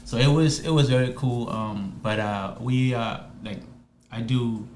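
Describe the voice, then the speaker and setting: A man's voice: mid-range voice, a little slow, darkish tone, reverberant, a little hiss or hum. A man from Liberia chatting as an interview guest, hesitant, with lots of ums and restarts. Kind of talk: casual interview chat.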